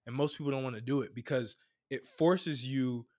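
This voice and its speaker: A man from Brooklyn talks as a guest coach on a podcast. His pitch is average for a man, and he gives advice conversationally, stressing and repeating phrases to drive each point home. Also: steady tone, moderate pace, a little mellow. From Brooklyn, New York.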